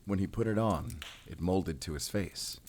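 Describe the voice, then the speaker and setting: A man playing a brooding, world-weary werewolf hunter in an audio roleplay, speaking in a measured, solemn, introspective way. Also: sits low, a little mellow, slight background noise, natural voice. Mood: solemn storytelling. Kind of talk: cinematic audio roleplay acting.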